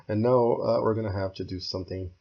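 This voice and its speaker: Hoarse low-voiced man with a gravelly edge. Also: lo-fi sound. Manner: step-by-step, thinking aloud with fillers. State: hoarse, losing his voice. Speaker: game tutorial creator. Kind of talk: screen-recorded building tutorial.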